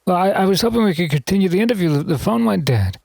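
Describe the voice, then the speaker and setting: Man as hapless radio host, lively swooping pitch. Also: quick pace, vocal fry, no room sound, heavily acted. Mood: flustered, pleading. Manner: stammering, flustered. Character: hapless, flustered radio host, pleading and easily exasperated.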